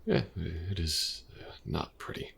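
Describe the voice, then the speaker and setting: A man playing an elven mercenary companion in an intimate fantasy audio roleplay, patient and gentle, with a hesitant, casual way of talking. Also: animated, slight background noise, exaggerated voice. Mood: casual, wry. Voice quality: very deep, gravelly. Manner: hesitant, soft-spoken, casual, with halting pauses.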